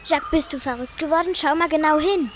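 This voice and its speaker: High-voiced, know-it-all child explorer acted by a pupil. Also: brisk, background noise, natural voice. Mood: chiding. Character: bossy, knowing child explorer called Maya. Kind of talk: children's school radio play acting.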